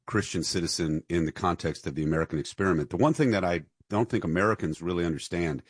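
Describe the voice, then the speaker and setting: A man's voice: low voice, quick pace, slightly rough, dry recording, clean studio sound. A male host in an interview conversation, interjecting in a chatty way and often saying "you know".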